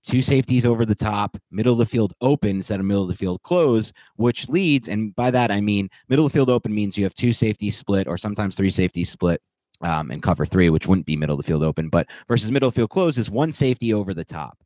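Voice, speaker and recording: A man with a fairly low voice co-hosts a casual podcast, laying out analysis point by point in long, detailed lists. The sound is dry and close, with no room around it. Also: quick pace, darkish tone, no echo. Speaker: podcast co-host.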